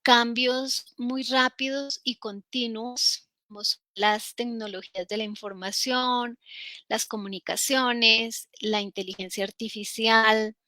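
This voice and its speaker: Woman academic panelist, slow measured delivery, bright tone, dry close recording. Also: a little high, fairly even intonation, no room sound. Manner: slow, measured, many fillers and restarts. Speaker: panelist, professor. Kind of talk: formal academic talk at a symposium.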